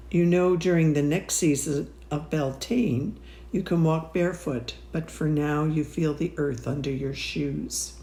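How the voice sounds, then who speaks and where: A woman leading a guided meditation in a measured, soothing way, leaving long pauses between phrases. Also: low-pitched, mellow, echoey room. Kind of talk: slow guided meditation narration.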